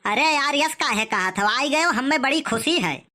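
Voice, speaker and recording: A man's voice: extremely high pitch, expressive, moderate pace, sharp tone, a little hiss or hum, full character voice. A man voicing a sly, stingy village host in a comic cartoon, with an Awadhi accent and mock-polite excuses. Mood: mock welcome.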